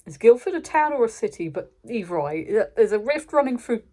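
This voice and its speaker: A woman's voice: fairly high pitch, big pitch swings, a little fast, dark tone. A British woman chatting casually and unscripted as a channel host giving a review, in an informal, rambling way, often interrupting herself.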